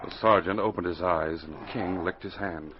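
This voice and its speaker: A man's voice: low-pitched, moderate pace. A man with a North American accent announcing and narrating a 1940s radio adventure in a dramatic, emphatic way. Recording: muffled, hissy 1940s radio recording.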